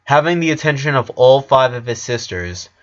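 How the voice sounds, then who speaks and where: A man's voice: mid-range voice, expressive, moderate pace. A man reading a story aloud as scripted narration, in the role of narrator. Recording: dull lo-fi recording.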